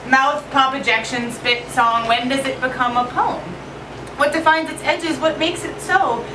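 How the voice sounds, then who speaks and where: A woman poet giving an expressive live poetry reading at an evening event. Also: unhurried. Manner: expressive, shifting pitch and pace for effect. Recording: roomy echo from far off with noise.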